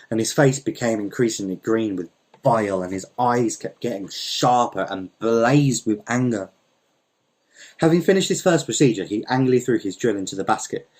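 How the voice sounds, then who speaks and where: Husky man reading a story aloud. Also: medium pitch. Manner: measured, even storytelling. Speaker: story reader. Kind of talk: audiobook narration.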